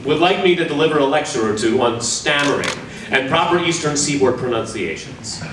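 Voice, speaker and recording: A middle-aged man reads aloud with pompous over-enunciation, his pitch jumping widely for comic effect. He is heard from a distance through a roomy stage echo. Loud room noise sits under him the whole time. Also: animated, brisk. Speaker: stage performer reading letters. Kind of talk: dramatic reading of letters on stage. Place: large event studio stage with audience. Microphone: stand mic, recorded from far camera.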